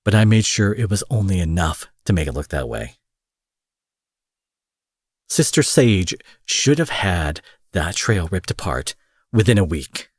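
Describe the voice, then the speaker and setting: A man acts out an angry supervillain captor in a roleplay audio drama, his voice lowish, husky and very close and dry. He is theatrical and profane, taunting with mock sweetness and sarcastic menace. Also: very animated, measured pace, long pauses, no room sound, heavily acted. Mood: smug, taunting.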